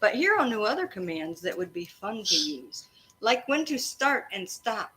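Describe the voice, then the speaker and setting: A woman teacher reading a storybook aloud to children expressively, pausing to explain and prompt her listeners. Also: mid-range voice, very animated, sharp tone, low-quality recording. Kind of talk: interactive children's read-aloud of a storybook, with questions and asides. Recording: faint background noise.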